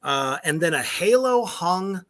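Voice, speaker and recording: A man's voice: high voice, animated, unhurried, fairly bright tone. A man hosting a casual livestream chat with a band, loose and profane, laughing often. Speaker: podcast host.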